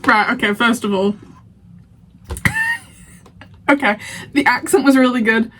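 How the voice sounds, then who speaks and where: A woman's voice: a little high, a little slow, a bit bright. A young woman from Lancashire with a Lancashire accent, chatting casually as a reaction vlogger, laughing often and sounding playfully indignant. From Lancashire, England. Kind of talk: casual reaction chat to camera.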